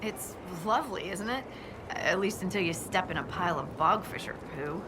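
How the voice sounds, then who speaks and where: Friendly dwarf scout woman played by an actress, game dialogue, slightly low pitch, candid and chatty, clear ambient noise behind. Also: expressive, normal speed, natural voice. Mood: wry, upbeat. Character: friendly young female dwarf scout and archer. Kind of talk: natural video game dialogue.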